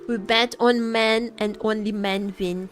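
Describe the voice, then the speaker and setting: A woman's voice: medium pitch, fairly even intonation, measured pace. A woman game streamer chatting casually with viewers in a playful, teasing way as she reads and answers their comments. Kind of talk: casual livestream chat with gaming commentary. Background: background music.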